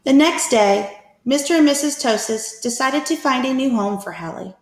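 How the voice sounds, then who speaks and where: A woman reading a picture book aloud to children as a teacher, with expressive, deliberate storytelling. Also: sits high. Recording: echoey room.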